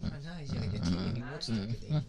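A man's voice: medium pitch, expressive, very fast, crowd noise, lightly acted. A young man playing playful, clingy quadruplet brothers in an improvised ASMR voice drama, chattering casually and affectionately in a boyish manner. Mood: bemused. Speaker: voice actor. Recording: overlapping voices behind.

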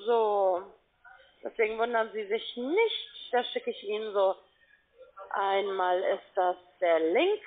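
A woman working as a call centre agent, talking a customer through steps on the phone in a halting, flustered way. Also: mid-range voice. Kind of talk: phone sales support call. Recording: narrow, tinny phone line.

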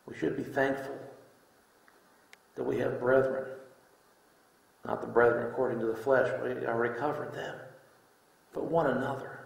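A middle-aged man preaching a sermon in deliberate short phrases with pauses, reading scripture from a lectern. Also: medium pitch, little pitch movement, creaky. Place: indoor room, speaking from a lectern. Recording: echoey room.

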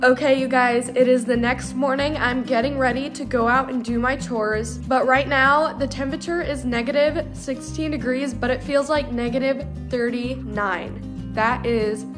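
A woman's voice: sits high, steady tone, moderate pace, music in the background. A young American woman, in her teens or just past, talking casually to the camera as a farm vlogger. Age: teens to young adult. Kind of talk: casual vlog narration. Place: snowy farm outdoors, barns, and a room indoors. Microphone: phone at arm's length.

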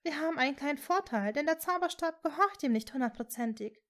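A woman voicing a young witch in love, speaking with soft, earnest emotion in a fantasy audiobook. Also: sits high, animated, quick pace, pitched above the performer's own voice. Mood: urgent.

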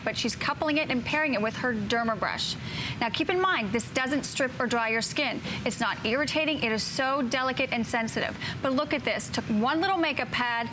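A woman's voice: high-pitched, background noise. A woman of forty presenting a skincare brand on a TV shopping show, persuasive and enthusiastic. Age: 40s. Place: TV studio set.

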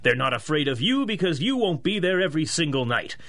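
An actor playing a skeptical, huffy police chief with a formal, emphatic manner in 1930s radio style. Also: male voice, on the high side, big pitch swings, brisk, fairly bright tone. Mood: stern.

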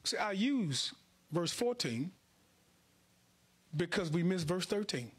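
Husky, high-pitched American male preacher. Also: big pitch swings, slow pace. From Chicago and Houston, United States. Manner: emphatic, colloquial, repeats phrases for effect. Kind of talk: sermon to a congregation. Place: indoor church stage at a lectern. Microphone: handheld microphone.

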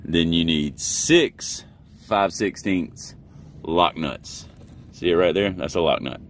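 A man with a deep, low voice talks informally, like a trucker walking viewers through a job. His delivery is laid-back and folksy. He is recorded inside a truck cab, with faint noise and ambience behind him. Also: takes it slowly. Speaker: truck driver and vlogger. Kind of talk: casual how-to vlog talk.

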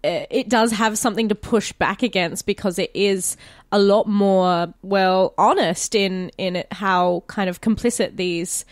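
A woman's voice: mid-range voice, measured pace, no room sound, studio quality. A woman with an Australian accent chatting casually as a podcast co-host. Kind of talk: casual chat.

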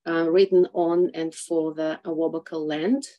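A woman reads poetry on a video call. She speaks slowly, in a slightly low voice. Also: fairly even intonation, muffled recording. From Russia. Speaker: poet at an open mic. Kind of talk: poetry reading with a short spoken introduction. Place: small indoor room.

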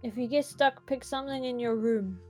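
Brief: female player, slightly high voice, casual group banter over music. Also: brisk, mellow. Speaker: game participant.

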